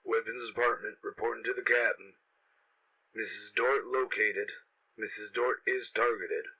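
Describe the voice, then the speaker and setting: A man's voice: fairly low pitch, moderate pace, husky, full character voice. A man playing an impersonal weapons officer in a sci-fi radio drama, making clipped formal reports through an intercom filter. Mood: impersonal, alert. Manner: flat, clipped, formal announcements. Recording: dull, filtered intercom sound.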